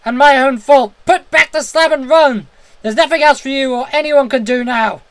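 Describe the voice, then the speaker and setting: A man voicing a high, frantic, terrified man. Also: normal speed, fairly bright tone, no echo. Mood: frantic, pleading. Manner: frantic, urgent, crying out. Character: terrified, despairing man warning his friend to flee. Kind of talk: dramatic horror story reading.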